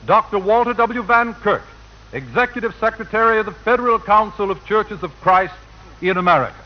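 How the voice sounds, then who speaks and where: High-pitched man announcing on old radio. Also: brisk. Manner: formal, oratorical. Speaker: master of ceremonies introducing speakers. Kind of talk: formal 1940s radio announcing. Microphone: broadcast microphone. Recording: dull lo-fi 1940 radio broadcast.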